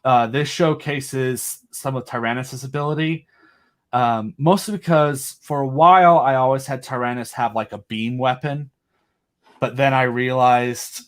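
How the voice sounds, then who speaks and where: A man's voice: medium pitch, a little slow. A man as an interview guest, eagerly explaining his own creative project in casual, rambling chat. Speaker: guest, creator of an audio drama.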